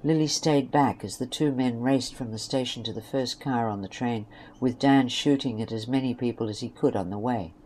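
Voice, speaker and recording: A woman's voice: rumbling low, normal speed, few pauses, darkish tone, slight background noise. A woman reading scripted story narration for an amateur audio drama.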